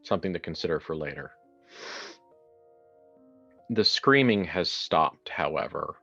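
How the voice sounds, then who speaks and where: A man's voice: sits low, animated, a little slow, sharp tone. Dull-sounding man narrating tabletop horror. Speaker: game master or player in a horror tabletop game. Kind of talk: improvised horror narration and casual chat in a tabletop game. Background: faint music.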